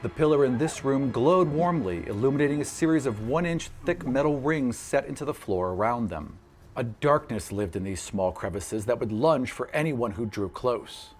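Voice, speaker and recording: A man's voice: medium pitch, lively intonation, normal speed, a little hiss or hum. A man working as game master, narrating a tabletop fantasy game in a dramatic, descriptive way. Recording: loud music under the voice. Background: music.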